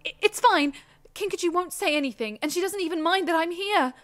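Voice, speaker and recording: Audio drama casting: young female dragon, played by a woman, high-voiced, timid, earnest, stumbling and pleading. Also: fairly quick, a bit bright. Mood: nervous, earnest. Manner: hesitant, pleading, stammering.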